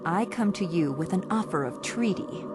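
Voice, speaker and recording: A woman playing a regal, scheming and seductive dark elf princess in a fantasy game, with formal, imperious diction. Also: on the low side, animated, moderate pace, lightly acted. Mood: formal, composed. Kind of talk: fantasy video game cutscene acting. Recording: loud music under the voice.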